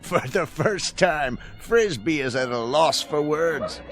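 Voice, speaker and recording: An actor playing a teasing, excitable small-town buddy with a rural American accent in a radio drama. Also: male voice, a little high, slow pace, faint music behind.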